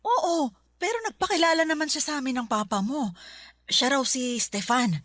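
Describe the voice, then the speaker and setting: An actress with a Filipino accent playing a caring middle-aged mother who gently urges, in a Tagalog radio drama. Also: high-pitched, expressive, moderate pace, dry recording, soft background music. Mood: urging.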